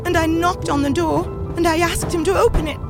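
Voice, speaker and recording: Woman voicing a high, dramatic storybook teacup. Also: fairly even intonation, a little fast, creaky, over music. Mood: distressed, pleading. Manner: theatrical, exclaiming, pleading. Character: beautiful talking teacup, once clay, dramatic and plaintive.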